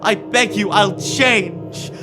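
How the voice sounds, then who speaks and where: A young man playing a frightened, repentant old miser in a radio drama, halting and theatrical as he pleads. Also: high voice, over music. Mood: desperate begging.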